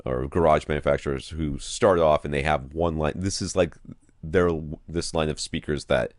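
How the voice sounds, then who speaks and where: A man's voice: rumbling low, steady tone, normal speed, no echo, studio quality. A man hosting a casual podcast, reading out questions and thinking aloud with wry, blunt humor. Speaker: podcast host.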